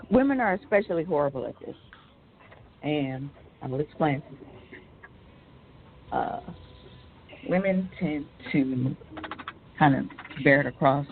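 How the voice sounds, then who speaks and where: A female podcast co-host with a lot of vocal fry. She speaks casually but deliberately, leaning on her points. The audio is dull, with faint noise behind her. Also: low-pitched. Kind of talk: casual opinionated discussion.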